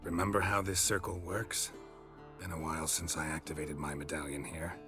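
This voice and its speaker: An actor playing a stoic, grey-haired veteran warrior in a fantasy game, dry, terse and deadpan. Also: male voice, very deep voice, moderate pace, over music. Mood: dry, matter-of-fact.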